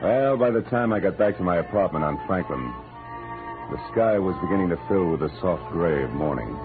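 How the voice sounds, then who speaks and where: A hard-boiled American gumshoe in an old radio drama. His low voice swings expressively through sardonic, slangy lines, muffled by the vintage recording with music behind. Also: animated, a little fast. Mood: weary, reflective. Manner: sardonic, world-weary, slangy. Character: wry, hard-boiled male private detective, narrating his cases. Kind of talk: 1940s radio noir acting and narration.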